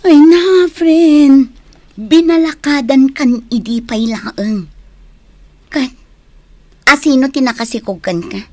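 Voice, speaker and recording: A woman's voice: high-pitched, takes it slowly, pauses often, mellow, no echo. An actress with a Filipino accent playing a frank, concerned young female friend in a radio drama. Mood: concerned, reproving. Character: concerned, frank young woman, the heroine's confidante.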